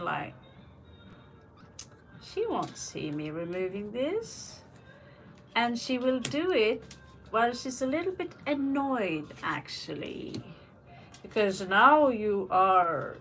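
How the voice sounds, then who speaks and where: Slow-talking woman reading tarot. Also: a little high, fairly bright tone, poor audio. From Norway. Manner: rambling, personal asides, reads out viewer questions. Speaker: tarot reader. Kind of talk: live tarot reading, chatty answers to viewer questions. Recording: faint music behind the voice.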